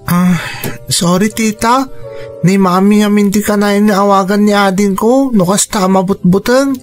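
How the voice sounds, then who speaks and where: A woman's voice: mid-range voice, measured pace, faint music behind, close to an everyday voice. A woman playing a polite, protective young boy in an Ilocano radio drama, with a Filipino accent. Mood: polite, apologetic.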